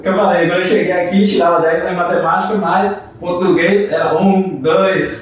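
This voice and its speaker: A man's voice: high voice, fairly even intonation, moderate pace, recorded from a distance, lo-fi sound. A man born in China, with Mandarin as his first language, chats on a podcast in a slightly raised voice, hedging with frequent "né" tags and restarting sentences. He sits away from the mic in a very echoey room. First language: Mandarin Chinese. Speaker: podcast co-host. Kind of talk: casual podcast chat.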